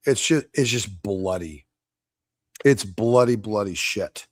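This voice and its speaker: A fairly low-voiced man with a slight hoarseness, talking in a blunt, combative, cussing way. His delivery is casual and off the cuff, like a streamer commenting live. The sound is close and dry, with no room around it. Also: slow pace, no echo. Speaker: debate reviewer streaming live.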